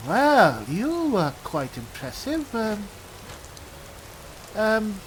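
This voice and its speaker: A man roleplaying a puzzled high elf spellsword in a video game, speaking in a hesitant, exclamatory way. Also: huge pitch swings, extremely slow, a little hiss or hum, exaggerated voice, rough recording. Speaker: let's play roleplayer. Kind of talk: in-character game roleplay commentary.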